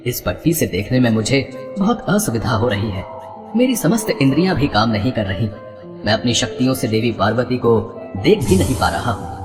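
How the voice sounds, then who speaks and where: A man's voice: medium pitch, lively intonation, normal speed, over music, lightly acted. A man voicing a good-natured, guileless god and fond husband in a devotional story, with formal, playfully bemused delivery. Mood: flustered, helpless. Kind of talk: animated devotional story dialogue.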